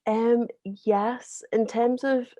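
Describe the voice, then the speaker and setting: A woman's voice: on the high side, fairly even intonation, drawn-out words, dark tone, dry recording, clean studio sound. A young woman in her twenties from the North West of England, a guest chatting casually in a podcast interview, talking loosely with many fillers and restarts. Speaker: podcast guest, zine co-founder.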